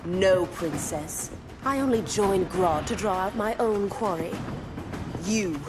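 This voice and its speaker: An actress playing a feral, bloodthirsty cheetah woman in a video game, with purring, drawn-out menace. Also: mid-range voice, expressive, music in the background. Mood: hungry menace.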